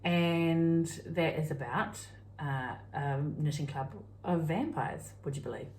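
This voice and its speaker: A woman with a New Zealand accent, co-hosting a craft podcast, telling stories in a lively, exclaiming way. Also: sits low, slow, roomy sound, slight background noise. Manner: animated storyteller, laughs and exclaims often. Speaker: podcast co-host. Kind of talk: casual chat.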